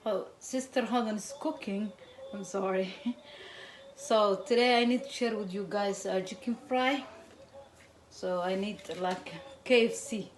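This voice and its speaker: A woman's voice: medium pitch, slight background noise. Somali-accented woman hosting a home cooking video. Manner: chatty, switching between halting English and Somali. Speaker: cooking channel host. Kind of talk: casual cooking demonstration.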